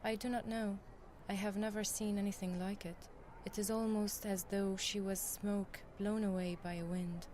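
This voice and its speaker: An actress voices a mystical female healer from a fantasy game. Her mid-range voice is calm, solemn and formally phrased. Noticeable ambient noise runs behind her. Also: mid-range voice, normal speed, few pauses, natural voice. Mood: grave, puzzled.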